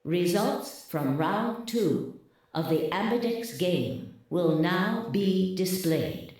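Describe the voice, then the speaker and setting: A man voicing a calm automated announcer in a slow, measured delivery. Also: sits high, heavy reverb. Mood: formal.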